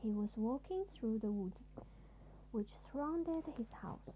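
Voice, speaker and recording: A woman narrating a children's storybook as a parent would at bedtime, with deliberate, expressive phrasing. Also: on the high side, highs cut off, a little hiss or hum.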